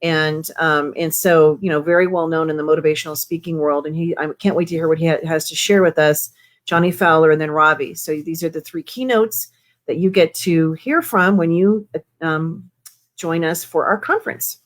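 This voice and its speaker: A woman's voice: deep voice, normal speed. A woman hosting a live show, going over announcements in a chatty, encouraging way. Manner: chatty and encouraging, many fillers. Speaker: host.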